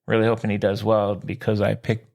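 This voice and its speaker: A man's voice: lowish voice, completely flat delivery, a little fast, vocal fry, a little mellow. A man co-hosting a sports podcast, chatting casually in a folksy, jokey way with slangy exclamations. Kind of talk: casual sports chat, joking banter.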